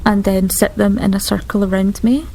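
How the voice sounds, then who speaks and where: A woman playing a tabletop game, describing her character's actions in a casual, thoughtful, halting way. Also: medium pitch, steady tone, vocal fry, dark tone, slight background noise, rough recording. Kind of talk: casual improvised roleplay description.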